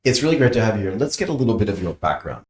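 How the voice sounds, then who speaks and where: A man hosting an interview, joking around and jumping in often with quick questions. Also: fairly low pitch, big pitch swings, roomy sound. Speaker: podcast host. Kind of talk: casual interview chat.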